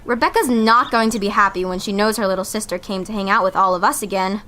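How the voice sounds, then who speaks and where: A woman's voice: mid-range voice, fast, sharp tone, close to an everyday voice. A young woman playing an exasperated, sensible teenage girl in an amateur audio drama. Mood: casual, puzzled. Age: young adult. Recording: music behind the dialogue.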